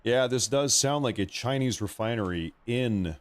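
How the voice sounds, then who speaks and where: A man's voice: mid-range voice, normal speed. A man hosting a live stream, reacting informally and commenting on breaking news in a casual way.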